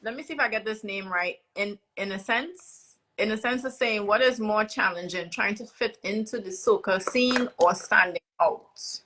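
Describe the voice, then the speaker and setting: A woman's voice: mid-range voice, normal speed, hardly any pauses, a bit bright, slight background noise, rough recording. A woman hosting a casual interview, backchanneling often and reading out questions. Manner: lots of short backchannel, reads audience questions. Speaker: host.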